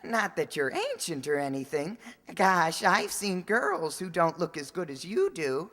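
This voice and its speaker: A woman's voice: on the low side, big pitch swings, unhurried, fairly bright tone, heavily acted. Woman playing a gushing teenage boy in a reverberant hall. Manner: gushing, naively earnest. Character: earnest, gushing, tactless teenage high school boy. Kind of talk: old-time radio comedy acting.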